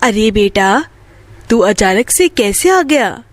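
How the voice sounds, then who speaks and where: Woman voicing a slow-talking poor old village mother. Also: mid-range voice, big pitch swings, no echo, pitched below the performer's own voice. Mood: caring. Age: 60s. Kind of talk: Hindi bedtime moral story dialogue.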